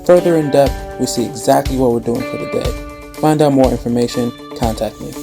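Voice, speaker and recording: A man delivers a scripted promotional talk as a personal trainer. His voice is mid-pitched and creaky, with clear music playing behind it. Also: a little fast.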